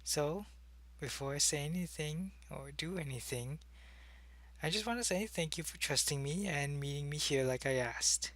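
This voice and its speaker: A man's voice: on the high side, moderate pace, fairly bright tone, no room sound. A man playing an earnest, secretive alien agent in an ASMR roleplay, talking to a human in a hesitant, explanatory way. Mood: earnest, cautious. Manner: hesitant, explanatory, intimate one-sided conversation. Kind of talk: ASMR roleplay, acted monologue to the listener.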